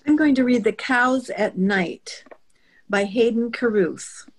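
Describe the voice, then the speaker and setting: Slow-paced woman reading poetry. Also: fairly high pitch. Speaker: poetry reader.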